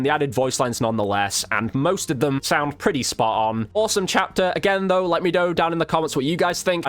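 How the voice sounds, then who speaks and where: Male, gaming video host, slightly high voice, casual commentary, chatty, informal, talks to viewers. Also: a bit bright.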